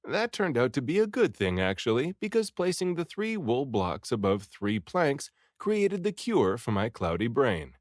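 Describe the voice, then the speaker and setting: A man reading a story aloud in an expressive, theatrical way, acting out the dialogue. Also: mid-range voice, unhurried, few pauses, dry recording, studio quality. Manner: animated, theatrical delivery with acted dialogue. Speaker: audiobook reader.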